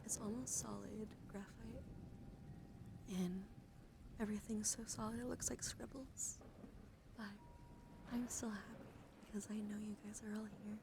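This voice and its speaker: A woman hosts an ASMR livestream in a gentle, soothing murmur that often drops toward a whisper. Her voice is soft and noticeably creaky, with a coaxing, personal-attention delivery. Clear background noise sits behind her on the live recording. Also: mid-range voice, steady tone, a little slow, pauses often. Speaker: live streamer host. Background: background noise, dog outside.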